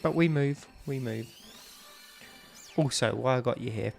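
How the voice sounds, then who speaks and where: A man's voice: medium pitch, a little slow, gravelly, faint music behind. A young man with a stuffy, bunged-up nose, chatting casually and rambling with lots of ums while he streams a game. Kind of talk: casual live-stream chat while playing.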